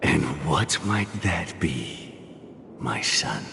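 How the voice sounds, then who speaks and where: An elderly, benevolent mentor from a video game. His voice is low and expressive, with formal, gentle phrasing. It rings in a long hall reverb over music. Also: creaky, a little hiss or hum, heavily acted. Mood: patient. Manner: measured, gentle, formal praise. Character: elderly fatherly mentor, calm, benevolent family patriarch. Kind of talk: scripted game voice acting.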